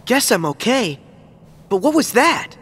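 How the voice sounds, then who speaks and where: A man's voice: very high voice, very animated, unhurried, fairly bright tone, heavily acted. A man playing a plucky, earnest teenage boy hero in a video game. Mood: puzzled. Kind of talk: scripted video game acting. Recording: clear music behind.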